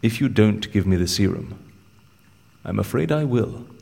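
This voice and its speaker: An actor playing a cheeky, scheming con artist in an audio drama, with a wry, persuasive way of talking. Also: male voice, fairly low pitch, animated, moderate pace, gravelly, warm tone. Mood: coolly threatening.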